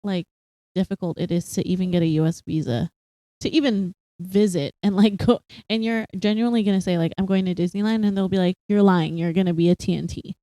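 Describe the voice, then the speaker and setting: Young woman podcast cohost, mellow voice, dry close sound, laughs a lot. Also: fairly low pitch, brisk, dry recording. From Philippines, raised partly in Singapore. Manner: animated, laughs often, many fillers. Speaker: podcast co-host. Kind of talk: casual chat.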